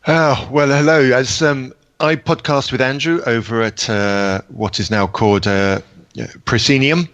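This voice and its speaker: A man from Zambia speaking on a podcast panel, halting and often correcting himself. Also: mid-range voice, a bit bright. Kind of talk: casual panel chat.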